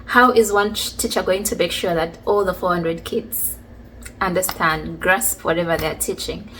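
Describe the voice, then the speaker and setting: A woman, casually telling stories from her childhood in a chatty, relaxed way. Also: on the low side, moderate pace, a little hiss or hum, rough recording. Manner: chatty, relaxed, many fillers like "like" and "you know". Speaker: vlogger. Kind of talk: casual storytelling about childhood.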